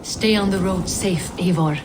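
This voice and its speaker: A woman's voice: lowish voice, a little slow, close to an everyday voice. An actress as a wry, composed Norse woman. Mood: wistful. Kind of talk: video game cutscene acting. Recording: clearly noisy ambient background.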